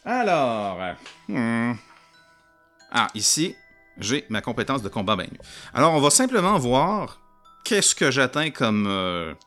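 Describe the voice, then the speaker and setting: A man with a Québécois accent narrating a solo tabletop roleplaying game in an animated, jokey way and talking to his audience. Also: mid-range voice, takes it slowly, pauses often, faint music behind. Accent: Québécois French.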